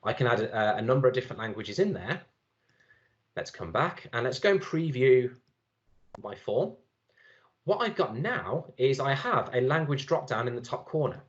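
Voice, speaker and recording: Man, conference demo, chatty and relaxed, lots of ums, mid voice, slightly muffled audio. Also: medium pitch, normal speed. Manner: relaxed, chatty with many fillers and restarts. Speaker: conference speaker giving a software demo. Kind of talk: live screen-share demo talk.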